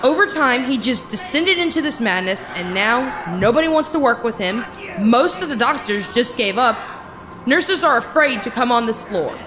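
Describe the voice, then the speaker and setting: An actress voices a jaded female psychiatric nurse in a horror radio play. Her voice sits fairly high and is emphatic, that of someone worn down by the ward, with music underneath. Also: expressive, normal speed, lightly acted, poor audio. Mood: weary, bitter. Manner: exasperated, emphatic. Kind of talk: horror audio drama acting.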